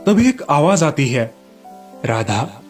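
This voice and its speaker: Guy narrator telling kids moral stories, voice a bit high, scripted, soft music under it. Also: expressive, moderate pace.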